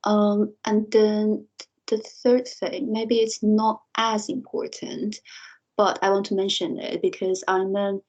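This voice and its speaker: A woman's voice: medium pitch, level tone, slow, a little mellow, lo-fi sound. A woman whose first language is Mandarin, a lecturer answering interview questions thoughtfully, with frequent light laughter and self-corrections. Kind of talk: reflective podcast interview answer.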